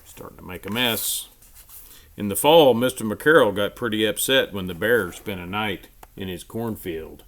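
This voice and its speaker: A man reading a children's storybook aloud in an expressive, emphatic storytelling manner. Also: fairly low pitch, moderate pace.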